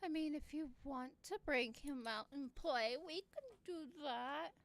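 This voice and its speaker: A woman's voice: sits high, unhurried. A woman co-hosting a live stream, teasing and giggling through playful banter. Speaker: stream co-host.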